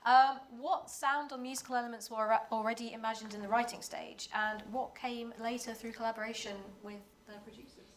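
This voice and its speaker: Higher-voiced woman moderating, noisy background. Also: steady tone, a little fast, hardly any pauses. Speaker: panel moderator. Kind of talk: live panel moderation. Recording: noticeable room noise behind voice.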